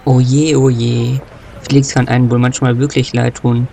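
A man's voice: mid-range voice, little pitch movement, moderate pace, vocal fry, mellow, faint music behind, close to an everyday voice. A man playing a wry, chatty narrator in an amateur audio drama. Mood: wry, narrating. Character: chatty storyteller addressing the characters, wry.

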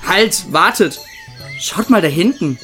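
A man's voice: high-pitched, animated, unhurried. Guy reading his part in a homemade audio play, music going behind him. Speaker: cast member playing himself in audio play. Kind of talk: amateur scripted audio drama dialogue.

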